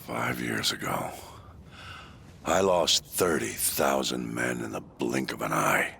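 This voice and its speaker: Extremely deep, gravelly military commander. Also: male voice, expressive, sharp tone, a little hiss or hum, exaggerated voice. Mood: bitter, resolute. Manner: measured, embittered, commanding. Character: bitter, menacing older male military commander. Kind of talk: dramatic video game monologue.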